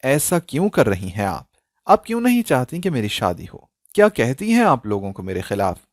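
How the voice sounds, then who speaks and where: A man's voice: mid-range voice, wildly swooping pitch, moderate pace, no room sound, studio quality. A man voicing an anguished young woman in a dramatic story, pleading and insistently demanding answers. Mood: hurt, demanding. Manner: emotional, pleading, insistent questioning.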